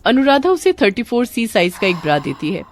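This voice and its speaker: A woman's voice: a little high, very animated, a little fast, slight background noise. A woman narrating a scripted story as a storyteller, with music behind her.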